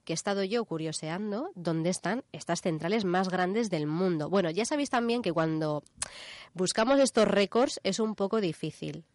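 A woman's voice: fairly low pitch, lively intonation, normal speed, a little mellow, no echo, studio quality. A woman in her thirties with a Spanish accent from Spain, hosting a radio show in casual, colloquial chat and explaining as she goes. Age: 30s.

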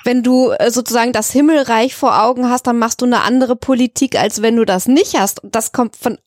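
A woman's voice: a little high, fairly even intonation, brisk, dry recording, studio quality. A woman, chatting as a podcast co-host in an emphatic, colloquial way. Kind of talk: casual conversation.

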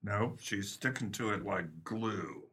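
A man's voice: on the low side, slow, bright, forceful tone, breathy edge, exaggerated voice. A man playing a weary, pragmatic police captain in a 1930s pulp crime radio play. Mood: resigned.

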